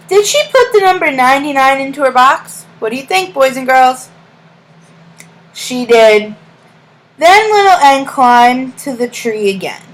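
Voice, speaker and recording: A woman reading a storybook aloud as a teacher to young children, in a deliberate sing-song way, pausing to ask questions and give praise. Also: sits high, a bit bright, poor audio.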